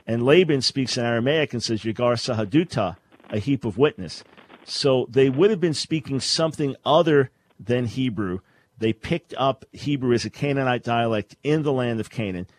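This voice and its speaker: A man's voice: medium pitch, moderate pace, low-quality recording. A man hosting a call-in radio show, explaining Bible languages in a scholarly way with frequent restarts. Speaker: radio talk show host.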